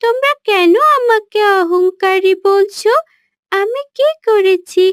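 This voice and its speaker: A woman's voice: extremely high pitch, takes it slowly, dry recording, clean studio sound. A woman voicing a polite, earnest young girl in a cartoon, with a questioning manner. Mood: hurt, puzzled. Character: polite, earnest young city girl, hurt but friendly. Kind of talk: cartoon character dialogue.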